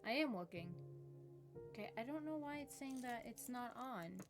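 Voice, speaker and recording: A woman's voice: fairly high pitch, lively intonation, moderate pace, music in the background. A woman game streamer chatting casually to viewers in a rambling, self-deprecating way. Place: indoors at a home gaming setup. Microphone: streaming microphone.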